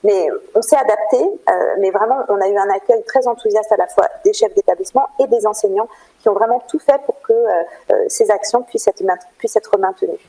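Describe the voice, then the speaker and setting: Woman, French from France, interview guest. Soft dark tone, mid pitch, earnest and explanatory with hesitant pauses. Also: rough recording. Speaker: interview guest, cultural programme manager at a theatre. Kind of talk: radio interview, explanatory answers.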